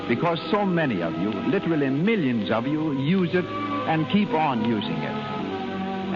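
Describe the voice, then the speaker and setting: A man announces in emphatic 1930s radio style, his fairly high voice swinging widely in pitch. The recording is muffled and vintage, with music clearly behind him. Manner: declamatory, emphatic.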